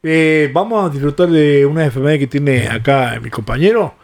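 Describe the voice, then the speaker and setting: A man with an Argentine accent hosting a live radio chat, relaxed and full of short encouraging remarks. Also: a little high, normal speed. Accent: Argentine Spanish. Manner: easygoing, short encouraging interjections. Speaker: radio host. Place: radio studio.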